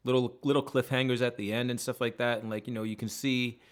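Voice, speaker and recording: A man's voice: medium pitch, flat delivery, fast. A man co-hosting a casual talk show, rambling loosely with lots of fillers and restarts. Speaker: podcast co-host. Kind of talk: casual chat.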